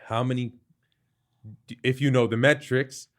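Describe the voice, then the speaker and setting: A man's voice: mid-range voice, fairly even intonation. A man from Queens, New York, hosting a podcast in casual conversation, chiming in and asking follow-up questions. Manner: engaged, quick interjections and follow-up questions. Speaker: podcast host.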